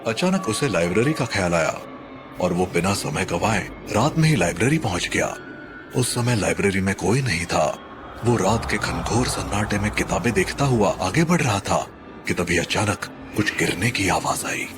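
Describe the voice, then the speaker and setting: A man's voice: sits low, animated, moderate pace. A man narrating a scripted horror story in a dramatic, suspenseful manner. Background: music.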